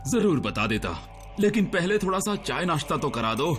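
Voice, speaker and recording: Animated, sarcastic captive agent. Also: male voice, a little high, normal speed, loud music behind the voice. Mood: cheeky, defiant. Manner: sarcastic, cheeky. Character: defiant, wisecracking male government agent held captive. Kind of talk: Hindi cartoon dub.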